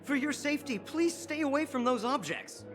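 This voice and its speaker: A woman's voice: high voice, expressive, moderate pace, over music. A woman voicing a cautious, matter-of-fact security officer in a video game, politely explaining.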